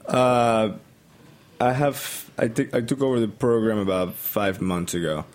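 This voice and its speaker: A man's voice: on the low side, steady tone, measured pace. A young man from Colombia with a Colombian Spanish accent, a bartender guest chatting hesitantly with many ums. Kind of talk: casual interview chat.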